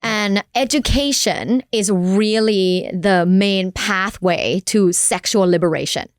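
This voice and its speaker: A woman's voice: mid-range voice, takes it slowly, no echo, studio quality. Frank, laughing woman educator. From Thailand. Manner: frank, laughing easily, explains with emphasis. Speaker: guest sex educator and professor. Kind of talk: candid podcast conversation. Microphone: studio mic.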